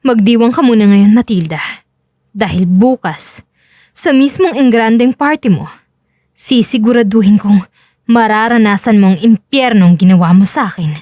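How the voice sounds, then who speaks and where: A woman's voice: mid-range voice, moderate pace, warm tone, no echo, clean studio sound, lightly acted. A woman voicing a cold, vengeful wronged wife in a dramatized audio story, controlled and menacing.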